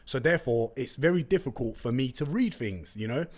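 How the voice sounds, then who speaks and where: A man's voice: medium pitch, brisk. Chatty young London man, slangy podcast host. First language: Turkish. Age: young adult. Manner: chatty, slangy, animated storytelling. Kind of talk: casual solo podcast chat. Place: room with brick walls, indoors. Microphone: close studio condenser mic with pop filter.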